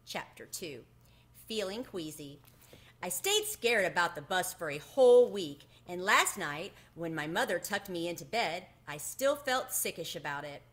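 A middle-aged woman reading a children's story aloud in an animated storytelling manner. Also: fairly low pitch, a little slow. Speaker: book reader. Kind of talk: expressive children's read-aloud. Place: home room with bookshelves, indoors. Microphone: webcam at desk distance.

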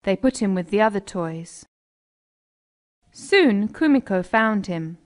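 A woman's voice: mid-range voice, lively intonation, takes it slowly. A woman with an American accent narrating a scripted children's story.

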